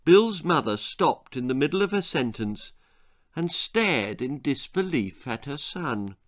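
Slow, older British male storyteller. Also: on the high side, big pitch swings, dry recording, studio quality. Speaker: author reading his own story. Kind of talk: dramatic children's story narration. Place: small indoor room lined with bookshelves.

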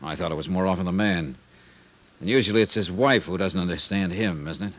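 An American actor playing a shrewd, wry insurance investigator in a 1950s radio detective serial, on a noisy old recording. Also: male voice, deep voice, fairly quick. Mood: wry, probing. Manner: wry, probing. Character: shrewd, dry-witted male insurance investigator. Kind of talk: 1950s radio detective acting, with first-person narration.

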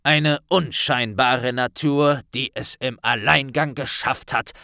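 A man's voice: mid-range voice, steady tone, takes it slowly, vocal fry, pushed and bright, no room sound, clean studio sound, full character voice. A man playing a grave, kindly minister of magic in an audiobook, speaking with serious authority. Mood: grave, firm. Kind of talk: audiobook character dialogue.

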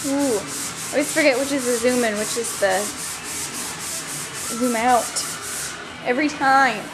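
A woman's voice: a little high, unhurried, low-quality recording. Teasing woman filming in a backyard. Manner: teasing, wry commentary. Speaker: camera operator and co-host. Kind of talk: casual banter behind the camera. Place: backyard and home garage.

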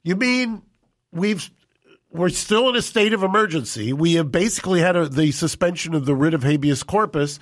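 An older man co-hosting a podcast in a studio, talking into a boom mic, indignant and full of rhetorical questions. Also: lively intonation, normal speed. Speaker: co-host. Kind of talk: casual podcast talk. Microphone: studio boom mic with headphones.

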